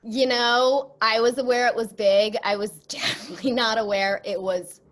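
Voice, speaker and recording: A woman chatting casually as an interview guest, expressive and jokey. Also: on the high side, moderate pace. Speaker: voice actress guest.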